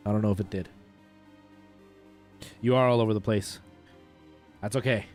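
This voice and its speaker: A man chatting casually and a bit haltingly while he streams a game, thinking aloud in an informal way. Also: fairly low pitch, fairly even intonation, a little mellow. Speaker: live streamer. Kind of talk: casual chat while gaming. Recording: music playing behind the voice.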